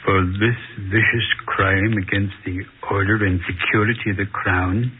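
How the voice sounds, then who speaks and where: A man plays a stern, solemn judge with a low voice. He intones in a ponderous, formal manner typical of old radio drama. The recording is narrow, with faint background noise. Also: fairly even intonation, unhurried, close to an everyday voice. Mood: stern solemnity. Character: stern, solemn male judge pronouncing sentence. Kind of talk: 1940s radio drama acting. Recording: noisy, narrow-band 1940s radio recording.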